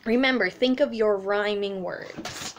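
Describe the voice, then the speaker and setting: A woman's voice: mid-range voice, a little slow, a little hiss or hum. A woman in her thirties, a children's music teacher, explaining things simply and animatedly for young children in a story time and sing-along. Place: small room at home, indoors.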